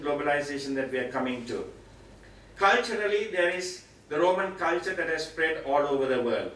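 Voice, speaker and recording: A middle-aged Sri Lankan man teaches a Bible session in a fairly high voice, with an emphatic, explanatory delivery. He speaks in a very reverberant meeting room, picked up from a distance with some faint noise. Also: bright, forceful tone. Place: meeting room with seated audience, indoors. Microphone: far camera, speaker at a mic stand. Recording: very echoey room, distant pickup, noisy.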